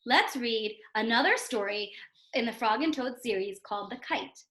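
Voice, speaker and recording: High, bright-voiced woman teaching, very lively. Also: moderate pace. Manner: instructive, emphatic, checks in with "right?". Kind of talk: read-aloud reading lesson for young children. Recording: roomy, echoing sound.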